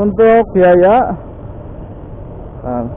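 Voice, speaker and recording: A man chatting casually while riding a motorbike through city streets, filling his talk with "ya" and "guys". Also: sits high. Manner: chatty, lots of "ya" and "guys" fillers. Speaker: motovlog host. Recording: tinny, phone-like with faint traffic noise.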